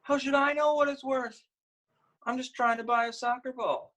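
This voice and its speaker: An eager little girl from a storybook, acted out by a man reading aloud, pitched high. The delivery is wistful and pleading, turning sing-song like a small street seller. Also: fairly even intonation, normal speed, fairly bright tone. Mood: exasperated. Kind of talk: picture book read-aloud.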